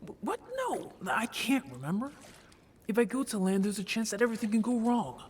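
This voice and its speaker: A male actor as a gentle young merfolk man, his voice a little high for a man. He is shy and earnest, speaking softly and hesitantly with an anxious edge, in an audio drama recorded with faint noise behind. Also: animated, fairly quick. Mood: flustered, anxious. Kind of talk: audio roleplay drama acting.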